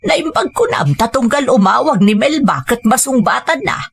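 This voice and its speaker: A woman's voice: a little high, very animated, normal speed, gravelly, slightly rough, heavily acted. A woman playing a reproachful older mother who lectures her lazy son in an audio drama. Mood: worried, exasperated. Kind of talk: Ilocano audio drama acting.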